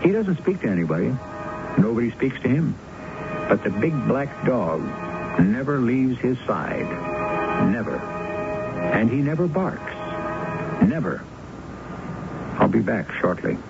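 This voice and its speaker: A man hosting a radio drama, closing the tale in a measured, ominous tone. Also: mid-range voice, very animated, creaky, music in the background. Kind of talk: scripted narration. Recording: dull old radio broadcast.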